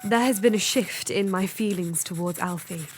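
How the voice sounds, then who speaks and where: An actress playing a romantic young upper-class English Victorian lady, narrating her diary in a measured, wistful way. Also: mid-range voice, close to an everyday voice. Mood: wistful, lovestruck. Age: young adult. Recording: music under the narration.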